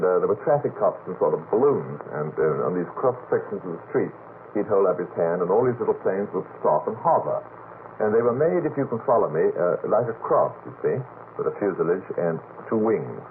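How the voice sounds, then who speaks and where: A middle-aged man telling a rambling story in a radio panel talk, halting, repeating words and checking that listeners follow. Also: low voice. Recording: noisy vintage late-night radio, narrow tinny band.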